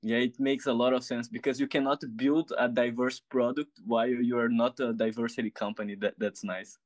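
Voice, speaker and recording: Brazilian-accented interviewer, dry close sound. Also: male voice, medium pitch, fairly even intonation, a little fast, no room sound. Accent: Brazilian Portuguese. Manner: searching for words, hesitant. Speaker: interviewer, host. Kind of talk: conversational interview questions.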